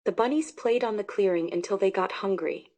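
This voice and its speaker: A woman narrating a children's story about bunnies in a plain, even reading. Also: mid-range voice, a little fast.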